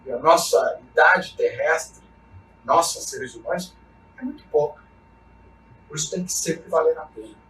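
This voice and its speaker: A man speaks in an earnest, reflective way, tossing in little tag questions as he goes. His voice sits a bit high and comes from some distance off the mic in a roomy, echoing space. Soft guitar music plays underneath. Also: unhurried, pauses often, a little hiss or hum. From Chile. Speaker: mountaineer and expedition guide. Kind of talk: reflective motivational talk.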